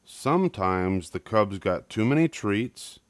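A man's voice: fairly low pitch, lively intonation, slow, no room sound. An older man narrating a children's picture book as a scripted story reading.

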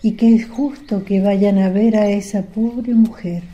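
A woman's voice: mid-range voice, steady tone, measured pace, dark tone, dull audio, close to an everyday voice. A woman playing a wistful, humble, devoted mother in a religious radio drama, subdued in manner. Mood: wistful, resigned.

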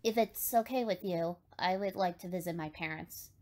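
A woman playing a calm, reserved young pony squire in an animated series, formal and understated. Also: fairly low pitch, moderate pace, lightly acted. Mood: polite. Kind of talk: animated fan series voice acting. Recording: music behind the voice.

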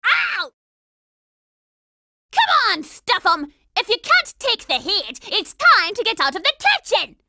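A woman's voice: very high voice, wildly swooping pitch, slow pace, sharp tone, dry recording, clean studio sound, heavily acted. A woman voicing a bossy, hot-tempered young girl soldier in a cartoon video game, brash, scolding and sarcastic. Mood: defiant, angry.

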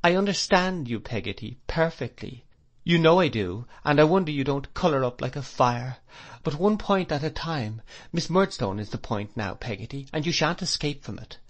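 Male reader voicing a fretful girlish young mother: pleading, high, near tears. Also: expressive, normal speed, lightly acted. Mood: reproachful.

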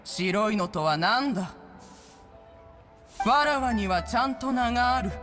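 A woman's voice: on the high side, expressive, unhurried, over music. A woman voicing a haughty, ancient immortal bird in an anime-style game, stately and archaic in speech, with a long reverb. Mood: indignant, haughty.

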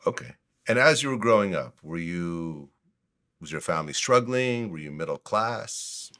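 A man's voice: low-pitched, animated, normal speed, fairly bright tone. A man hosting an interview, asking direct, leading questions. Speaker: interview host.

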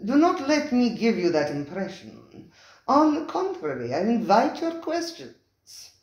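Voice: mid-range voice, very animated, measured pace, voice pushed up. A reader voicing Spiro, a vehement, theatrical male restaurant owner who talks in a grandly emphatic way and tags his questions with "eh?". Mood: grand, insistent. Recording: roomy, echoey recording.

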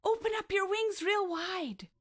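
Woman acting out a storybook snail, female, high-pitched, caring, bossy, emphatic, slow-paced. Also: fairly bright tone, dry recording, studio quality. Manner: emphatic, urging.

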